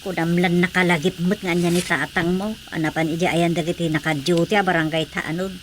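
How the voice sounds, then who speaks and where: A woman's voice: on the low side, steady tone, brisk, slight background noise, close to an everyday voice. A woman with an Ilocano accent playing a practical, reassuring older village mother-in-law in a Filipino radio drama. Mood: coaxing.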